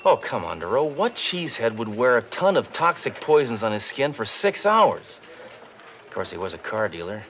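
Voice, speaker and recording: An actor playing a cocky, tough cop who talks in gruff deadpan one-liners. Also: male voice, mid-range voice, normal speed, a little hiss or hum.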